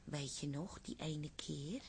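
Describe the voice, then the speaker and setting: A woman's voice: low voice, slow pace, exaggerated voice. A woman playing an amorous, playful but dissatisfied wife in a Dutch radio play, speaking intimately and coaxingly. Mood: playful, nostalgic. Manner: intimate, coaxing, sometimes breathy whispering.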